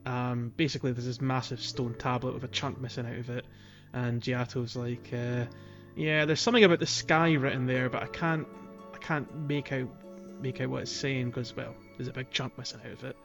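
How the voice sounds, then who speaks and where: A man's voice: mid-range voice, steady tone, moderate pace, few pauses, fairly bright tone, soft background music. A man co-hosting a gaming podcast, chatting casually and explaining things in an informal, hesitant way. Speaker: podcast co-host.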